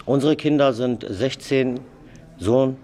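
A man's voice: medium pitch, slow pace, dark tone. A man in his late thirties speaking as an interviewee, giving an account of himself. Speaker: prison inmate being interviewed. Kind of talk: spoken interview self-introduction.

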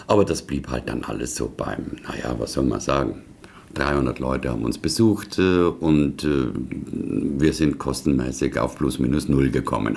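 A man's voice: extremely low pitch, creaky, a little mellow. An older man from South Tyrol telling anecdotes in a chatty, digressive way, often tagging his sentences. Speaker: interviewee, performer. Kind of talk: casual anecdotal storytelling.

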